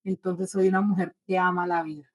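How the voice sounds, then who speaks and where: A woman in her forties with a Colombian accent gives a religious personal testimony as a guest, speaking in an earnest, devout way with measured phrasing. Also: lowish voice, little pitch movement. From Bogotá, Colombia. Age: 40s.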